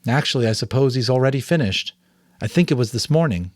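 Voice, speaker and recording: A man's voice: mid-range voice, lively intonation, fast, dry recording, studio quality, pitched above the performer's own voice, natural voice. A man voicing an earnest, anxious and curious adolescent boy in an audiobook, with an eager, questioning manner. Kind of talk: audiobook character reading.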